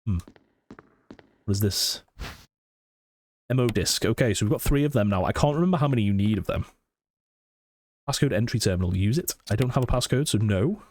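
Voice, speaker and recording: A man's voice: on the low side, lively intonation, darkish tone, a little hiss or hum. A man with a cold and a sniffly voice, casually commentating a horror game and reading its notes aloud. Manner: chatty, thinks aloud, reads text slowly. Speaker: let's play gamer. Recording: faint game music behind.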